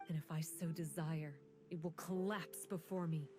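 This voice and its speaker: A woman voicing a young female vishap raised among creatures, who speaks in a cold, grave and measured way. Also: deep voice, steady tone, music in the background, lightly acted. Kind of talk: video game voice acting.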